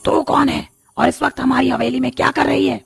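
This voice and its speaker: A woman voicing a menacing witch in a cartoon horror story, with a raspy, creaky voice and a taunting manner. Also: high-pitched, steady tone, soft background music, exaggerated voice. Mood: threatening. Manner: slow, taunting delivery. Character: menacing female witch of a haunted mansion.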